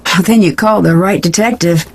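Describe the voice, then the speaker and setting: A woman's voice: lowish voice, a little fast. An actress playing a confident, inquisitive young woman detective in a video game, polite, direct and probing. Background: faint music.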